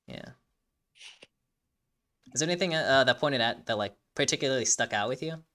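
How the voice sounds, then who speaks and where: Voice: medium pitch, normal speed, bright, forceful tone. An enthusiastic host giving casual reaction commentary, rambling and often repeating phrases while searching for words. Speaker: video host.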